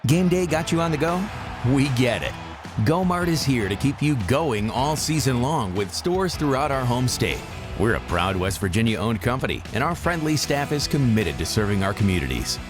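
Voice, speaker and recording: A man's voice: mid-range voice, big pitch swings, normal speed. A man reading a scripted radio commercial as an announcer. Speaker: advertisement announcer. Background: guitar music bed.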